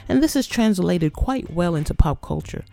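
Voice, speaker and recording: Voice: medium pitch, animated, normal speed, gravelly, warm tone, faint music behind. A podcast host narrating a scripted educational piece in a playful, conversational way.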